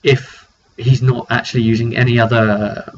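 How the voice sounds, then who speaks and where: A man recounting a tabletop fantasy roleplay session as game master, casual and improvised, in a halting, informal way with some throat clearing. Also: mid-range voice, little pitch movement. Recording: dull lo-fi audio with faint noise.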